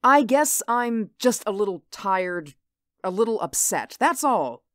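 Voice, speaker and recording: A woman's voice: medium pitch, very animated, a little slow, no room sound, studio quality. An actress playing an anxious housewife and mother in a radio drama. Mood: uneasy. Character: anxious housewife and mother hiding her unease.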